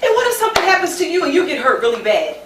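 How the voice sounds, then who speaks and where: A woman's voice: high-pitched, wildly swooping pitch, racing. A woman acting on stage as an anxious young pregnant American woman, earnest and pleading with her partner. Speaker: stage actor. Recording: echoey distant stage recording, clear noise.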